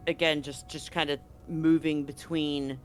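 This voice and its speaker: A man's voice: on the high side, level tone, a little slow, clean tone, faint music behind. Casual game master man narrating. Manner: loose, conversational, self-correcting.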